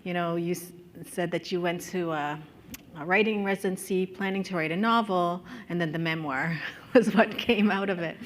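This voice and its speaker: A woman moderating a writers' panel, measured as she poses questions. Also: on the low side, a little hiss or hum. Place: conference stage.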